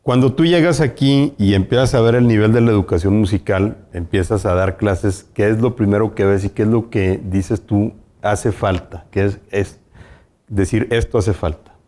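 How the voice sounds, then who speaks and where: A man's voice: fairly low pitch, normal speed, mellow. A man hosting an interview, asking long winding questions with fillers. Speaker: interview host.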